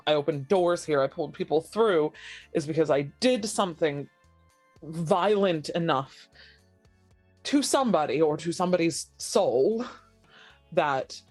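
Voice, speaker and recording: A woman's voice: deep voice, darkish tone. A woman playing a shaken, sick and desperate young woman with a brooding bent, in a horror tabletop roleplay. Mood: haunted, halting.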